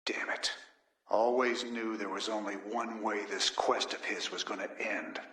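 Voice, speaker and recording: An actor playing a weary, gruff human rebel commander in a video game cutscene, with a plain-spoken drawl. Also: male voice, lowish voice, fairly even intonation, unhurried, full character voice. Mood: bitter, resolute. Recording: loud music behind the voice.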